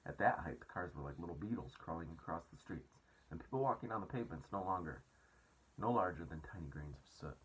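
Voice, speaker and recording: A man reading a children's book aloud as expressive storybook narration. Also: low voice, brisk, husky, low-quality recording.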